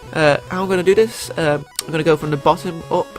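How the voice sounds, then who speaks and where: A man's voice: a little high, fairly even intonation, fast talker, soft background music. A young man with a cold and a stuffy voice, chatting casually through his picks with lots of fillers and restarts. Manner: rambling, many fillers and restarts. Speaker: YouTuber. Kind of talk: casual gaming commentary.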